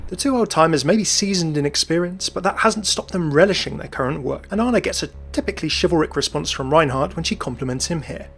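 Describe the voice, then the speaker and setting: A man's voice: fairly high pitch, animated, fast talker, hardly any pauses, fairly bright tone. A man hosting and narrating a scripted game lore commentary. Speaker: video host and narrator. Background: music.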